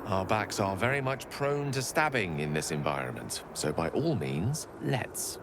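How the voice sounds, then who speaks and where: An actor playing a proud, articulate wizard in a fantasy video game, speaking in a droll, wordy, dignified manner. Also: male voice, sits low, big pitch swings, unhurried, noisy background, full character voice. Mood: wry unease. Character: proud, wry, articulate male wizard companion.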